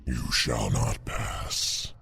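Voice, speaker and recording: An actor voicing an ancient spectral guardian in a fantasy game, solemn and menacing, with slow, ominous delivery and a gravelly voice. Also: male voice, bright, forceful tone, no room sound, studio quality. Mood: grave warning. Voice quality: very deep, gravelly, breathy rasp. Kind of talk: fantasy video game acting.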